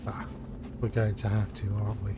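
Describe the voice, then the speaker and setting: A man's voice: fairly low pitch, completely flat delivery, a little fast, heavy background noise. A man doing casual live commentary as he plays a video game, sometimes reading dialogue choices aloud. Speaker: game streamer. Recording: game music behind the voice. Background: video game music.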